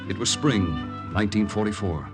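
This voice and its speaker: An actor playing a calm, resolute American secret agent lieutenant, telling his wartime story in a measured, earnest 1940s radio drama style. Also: fairly low pitch, lightly acted. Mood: grave, reflective. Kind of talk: 1940s radio acting, first-person dramatic narration. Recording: 1940s radio recording, music underneath. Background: dramatic music.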